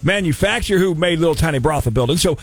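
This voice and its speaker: A man's voice: on the high side, lively intonation, fast, slightly rough. A man playing a folksy, gleeful Christmas village collector on a radio prank call, chatty with old-timey cowboy exclamations. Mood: cheery, eager.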